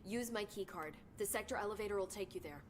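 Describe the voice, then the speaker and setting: An actress playing an eager researcher in a video game, explaining findings in a brisk, informative way. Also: female voice, medium pitch, close to an everyday voice. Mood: curious. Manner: brisk, informative, slightly excited. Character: eager female bureau researcher, brisk and curious. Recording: game audio with clear noise.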